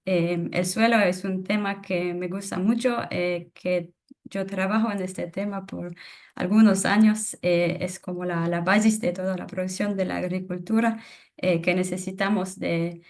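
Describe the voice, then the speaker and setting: A young woman presenting a webinar in a language that is not her first, halting with many fillers and restarts. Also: medium pitch. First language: not Spanish. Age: young adult. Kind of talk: webinar talk on soil.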